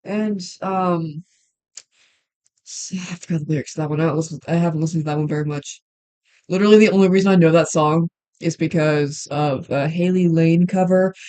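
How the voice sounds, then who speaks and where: A woman's voice: fairly low pitch, dark tone. A young woman chatting casually on a livestream while she draws, rambling and hesitant, with many ums and restarts. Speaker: livestreamer and hobby voice actor.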